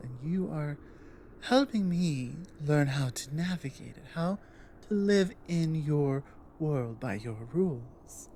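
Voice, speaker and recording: A woman playing a big, earnest, protective orc warrior in an intimate ASMR audio drama, speaking low in a halting, thoughtful way. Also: dark tone, slight background noise. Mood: earnest, caring. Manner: halting, deliberate, earnest delivery with pauses.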